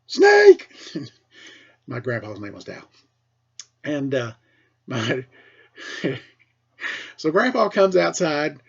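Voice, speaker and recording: A middle-aged American man, a teacher with a husky voice, tells casual personal stories for children in an animated way, acting things out as he goes. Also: medium pitch, very slow, long pauses, lo-fi sound. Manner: animated, acts out dialogue and sound effects. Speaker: school teacher telling stories to pupils.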